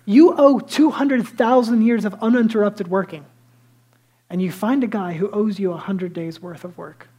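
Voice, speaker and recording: A man with a high, light voice preaching a sermon as a pastor, telling stories lively and dropping into hushed asides. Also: moderate pace, slightly rough, low-quality recording. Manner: lively storytelling that drops to hushed, near-whispered asides; self-deprecating and conversational.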